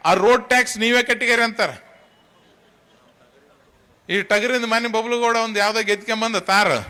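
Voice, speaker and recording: Middle aged guy w north karnataka accent making a speech, high bright voice, talks straight to the people, really emphatic. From Karnataka, India. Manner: emphatic, colloquial, addressing listeners directly. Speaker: tournament organiser. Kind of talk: public speech at a launch event.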